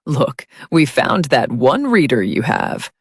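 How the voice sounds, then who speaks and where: A woman's voice: a bass voice, lively intonation, takes it slowly, slightly rough, pitched below the performer's own voice, heavily acted. A woman voicing a leering, teasing, bearded man in a pub, familiar and jokey, for an audiobook. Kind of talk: audiobook character dialogue.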